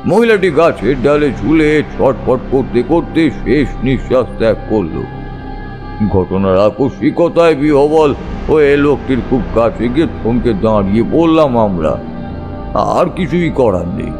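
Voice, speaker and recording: A man narrating a scripted first-person ghost story in a suspenseful, measured storytelling manner. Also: medium pitch, expressive. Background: background music.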